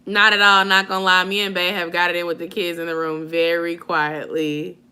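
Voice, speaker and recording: A woman's voice: on the low side, a little fast, slight background noise. A woman in her late twenties chatting casually with viewers from home, answering comments and laughing a lot. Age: 20s. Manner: animated, laughing often, slangy. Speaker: vlogger chatting with live viewers. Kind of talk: casual live chat, reading comments.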